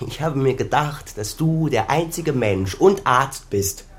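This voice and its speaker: An actor playing an embittered, determined adult son in a German radio crime drama, speaking in a measured, guarded, sardonic way. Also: male voice, medium pitch, animated, slightly rough. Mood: pleading, insistent.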